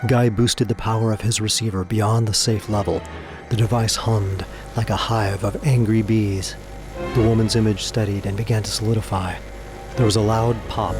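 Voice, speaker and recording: A man narrating a scripted audio drama in a theatrical, suspenseful storytelling manner. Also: on the low side. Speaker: narrator and voice actor. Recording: music behind the voice.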